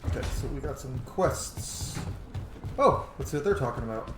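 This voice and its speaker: A man doing casual let's play commentary on a video game, chatty and excitable, with plenty of swearing. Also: medium pitch, normal speed, noisy background. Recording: echoey room with game music behind.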